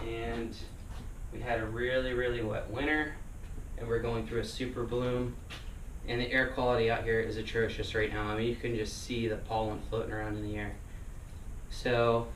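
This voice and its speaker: A man talking casually in a personal vlog, rambling with many fillers and restarts, his voice still getting over hoarseness. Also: medium pitch. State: recovering from lost voice. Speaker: vlogger. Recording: echoey room, mic at a distance, noisy.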